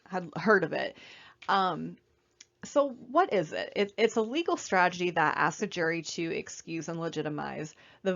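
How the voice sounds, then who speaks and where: A young woman with a slightly raspy voice while getting over an illness, giving a casual, conversational slideshow presentation with many fillers. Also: lowish voice, lo-fi sound. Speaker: student presenter.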